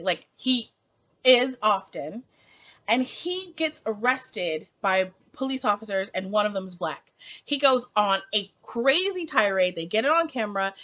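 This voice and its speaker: A woman in her late twenties talks straight to camera in an opinion vlog. Her voice is bright and a bit high, and it leaps up and down in pitch. She is emphatic and peppers everything with "like.". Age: 20s. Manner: animated, emphatic, heavy use of "like". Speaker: book vlogger.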